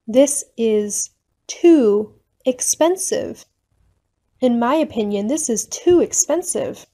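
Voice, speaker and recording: A woman reading short English phrases for learners, each one slowly and then again at normal speed. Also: fairly high pitch, dark tone.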